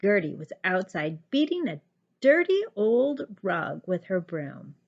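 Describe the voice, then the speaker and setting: Teacher lady reading a kids book out loud, slow n expressive, bit lo-fi. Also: fairly low pitch, vocal fry. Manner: deliberate, animated. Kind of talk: expressive children's storybook narration.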